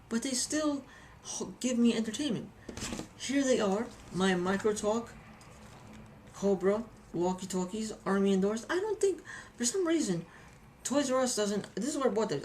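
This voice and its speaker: A woman's voice: medium pitch, a little mellow, slight background noise. A woman giving a casual, chatty hobby review with anecdotes, often repeating phrases for emphasis.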